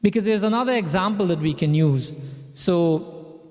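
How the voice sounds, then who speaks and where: A man's voice: high-pitched, moderate pace, a little mellow, in a large hall. A man from Guyana leading a satsang, preaching in a conversational way with personal stories and frequent restarts. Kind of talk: sermon.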